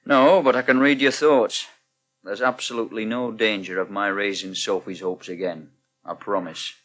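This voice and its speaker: An actor playing an easygoing houseguest in a radio soap, frank, wry and reassuring. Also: male voice, on the low side, fairly even intonation, moderate pace, fairly bright tone, lightly acted. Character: easygoing, candid male houseguest. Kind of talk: British radio soap acting.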